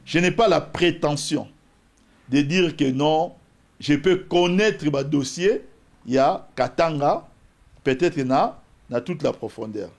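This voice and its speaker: A man's voice: on the high side, slow pace. Man, Congolese accent, TV debate guest, argumentative, swooping intonation. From DR Congo, Bas-Congo. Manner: animated, argumentative, cites scripture. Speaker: political guest. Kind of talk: televised political debate.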